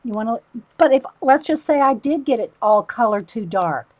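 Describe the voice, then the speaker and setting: Loud chatty woman, dry close sound. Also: on the high side, expressive, moderate pace, no echo. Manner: rambling, talks to chat viewers by name. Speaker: livestream host coloring for viewers. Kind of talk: casual chatty tutorial.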